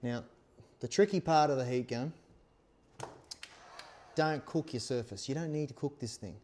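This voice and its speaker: Mellow man giving a hands-on tutorial. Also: mid-range voice, moderate pace, a little hiss or hum. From Australia. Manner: informal, reassuring, often checks with "okay?" and "all right?". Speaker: instructor, helmet accessory maker. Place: small workshop studio indoors, seated at workbench.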